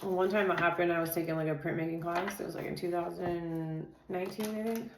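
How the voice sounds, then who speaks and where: A woman doing a casual tarot reading, talking in a loose, rambling way full of "like" and "dude", with a naturally loud voice. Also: fairly low pitch, fairly even intonation, a little fast, reverberant, background noise. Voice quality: says she has a naturally loud voice. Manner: chatty, loose, filler-heavy, says "dude" and "like" constantly. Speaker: tarot reader.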